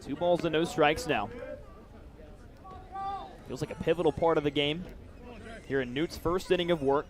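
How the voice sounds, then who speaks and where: Higher-pitched man calling baseball play-by-play. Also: normal speed, long pauses, crowd noise. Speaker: play-by-play announcer. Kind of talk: live baseball play-by-play commentary.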